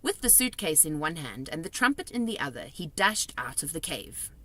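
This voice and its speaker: A woman reading a children's story aloud as narrator, expressive and deliberate, with a dramatic storytelling manner. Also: deep voice. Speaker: storybook reader.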